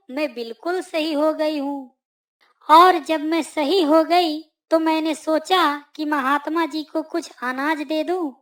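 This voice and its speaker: A woman's voice: high voice, fairly bright tone. A woman voicing a devout village mother in a cartoon moral story, earnest and emphatic. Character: devout village mother, grateful, chiding her son fondly.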